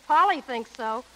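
A woman's voice: sits high, bright, forceful tone, heavily acted. An actress playing a sardonic, slangy showgirl comedienne in a 1930s radio play. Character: wisecracking brassy showgirl comedienne. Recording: vintage 1930s radio, music, crackle.

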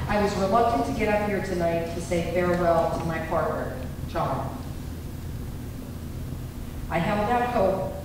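A woman school board member reading a prepared award citation and statement aloud into a handheld mic at a public board meeting. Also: on the low side. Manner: measured, formal reading. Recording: echoey hall, distant mic, noisy.

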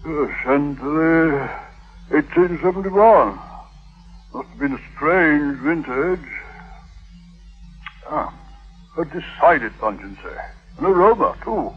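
Befuddled Victorian husband, lilting wide pitch, slow, fussy, fond of drink, hesitant, tinny old radio sound. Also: male voice, animated. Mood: tipsy, merry. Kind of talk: 1950s radio drama acting. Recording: tinny vintage 1950s radio recording.

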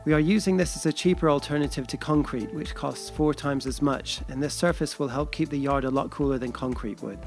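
A man reading scripted voice-over narration in a steady, explanatory way. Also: fairly high pitch, fairly quick, hardly any pauses, soft background music.

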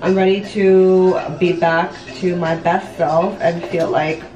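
A woman's voice: fairly low pitch, level tone, slow, lo-fi sound. A woman in her late twenties chatting candidly and casually to her viewers, rambling a little as she vlogs. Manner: candid, rambling, frequent "like" and "you know", some swearing. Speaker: vlogger and online business coach. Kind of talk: casual confessional chat to viewers while doing makeup. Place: bathroom at home. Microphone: camera a step or two away. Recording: echoey room, distant camera mic.

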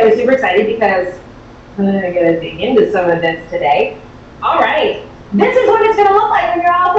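A woman with a high voice talks viewers through cooking in an informal, excitable, chatty way. She sounds set back from the microphone in a kitchen. The sound is slightly dull, with strong room echo. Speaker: host of a live cooking show. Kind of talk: casual live cooking demonstration. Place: home-style kitchen, indoors. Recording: very echoey, distant, dull, faint noise.

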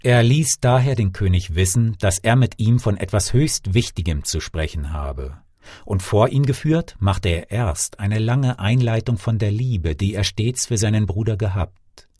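A man reading a fairy tale as an audiobook narrator, with dramatic, expressive delivery. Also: fairly low pitch, measured pace, dry recording, clean studio sound. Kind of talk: scripted storytelling, audiobook reading.

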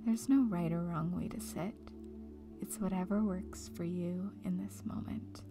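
A woman leading a guided meditation in a soft, breathy, near-whispered voice, calm and gentle, leaving long pauses. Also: fairly low pitch. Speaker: meditation guide, breakup coach. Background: music.

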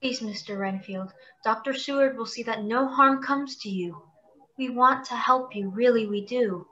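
A woman playing a kind, earnest, gently sympathetic lady in a radio play. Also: on the high side, normal speed, lo-fi sound. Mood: soothing, sympathetic.

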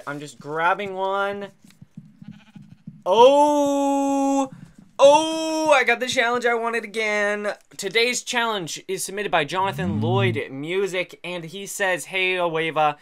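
Bright raspy young man commentating. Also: fairly high pitch, slight background noise. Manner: upbeat, chatty, drops to quiet muttering during fights. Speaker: gaming channel host. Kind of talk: gameplay commentary. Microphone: headset.

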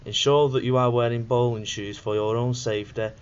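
A man's voice: mid-range voice, fairly even intonation, a little fast. A young man reading scripted narration for a student documentary in a plain, instructional way. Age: young adult. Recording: dull lo-fi voice-over with faint noise.

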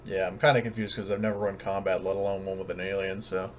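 A man with a fairly low voice runs a tabletop game as game master. He talks casually and sounds unsure, working things out aloud. There is faint noise behind him. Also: level tone, quick pace. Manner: hesitant, unsure, thinking aloud.

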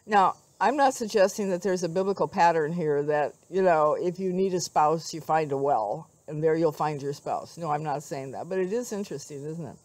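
A woman's voice: fairly low pitch, a little mellow, no echo. Older woman giving an outdoor devotional, dry sound. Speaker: devotional speaker. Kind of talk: devotional talk with scripture reading. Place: outdoors among trees.

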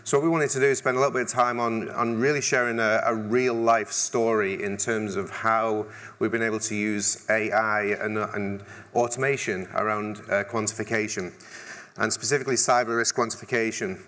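A man's voice: fairly low pitch, steady tone, normal speed, a bit bright. Middle-aged man, English accent, conference keynote, dry humor, loose conversational delivery, headset mic. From England. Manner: conversational, many restarts and fillers, dry humor. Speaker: conference speaker. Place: large conference stage.

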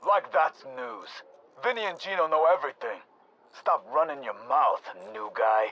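A scornful New mob goon, gossipy and streetwise. His voice sits fairly high and swings around in pitch, heard through a dulled, radio-like feed. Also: sing-song, normal speed, a bit bright, highs cut off. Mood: irritated. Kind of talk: video game voice acting.

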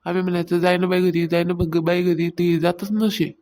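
A woman's voice: on the low side, level tone, moderate pace, mellow, close to an everyday voice. A woman voicing a meddling, gossiping older village neighbour in a comedy cartoon, with a rural Maharashtrian accent and a taunting, insistent way of telling tales. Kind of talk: Marathi comedy cartoon dialogue.

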